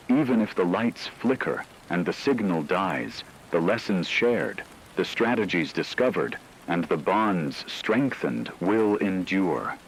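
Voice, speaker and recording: A man playing a grave, steadfast emergency radio anchor in an apocalypse drama, speaking in a measured, formal way. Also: deep voice, a little hiss or hum, rough recording. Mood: solemn, resolute. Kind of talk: scripted dramatic broadcast narration.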